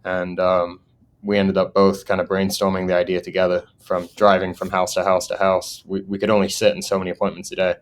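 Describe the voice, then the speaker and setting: Low, flat-voiced Englishman. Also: fairly quick. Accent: English, partly Americanized. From England. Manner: laughs often while telling stories. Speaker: guest, solar sales manager. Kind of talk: casual podcast interview.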